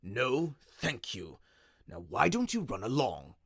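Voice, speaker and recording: A man's voice: medium pitch, huge pitch swings, slow, a bit bright, dry recording, clean studio sound, heavily acted. A man voicing a bitter, jealous old inventor, curt and grudging, often muttering, in a radio-play style story.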